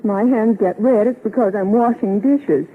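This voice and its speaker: An actress playing an upset, plaintive young bride in a 1930s radio commercial, on a thin old recording. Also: female voice, mid-range voice, normal speed, slightly rough, narrow, tinny audio, lightly acted.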